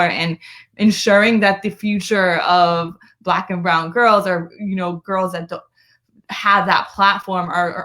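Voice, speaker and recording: A woman's voice: on the low side, fairly bright tone. An American woman speaking conversationally as an interview guest, earnest and emphatic, often checking in with "right?". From United States, born in Brooklyn, living in Florida. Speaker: guest, community activist and political strategist. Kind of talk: conversational interview on a live stream.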